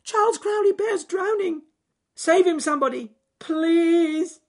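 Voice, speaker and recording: Man doing a high squeaky earnest bunny, all wobbly and tearful. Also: normal speed, darkish tone, husky, exaggerated voice. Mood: frantic. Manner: high, crying pleas. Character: earnest, timid male rabbit.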